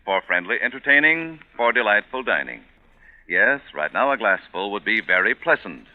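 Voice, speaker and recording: A man announcing on 1940s radio, reading a scripted sponsor pitch with polished, emphatic phrasing. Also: lively intonation, moderate pace. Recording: dull old radio broadcast.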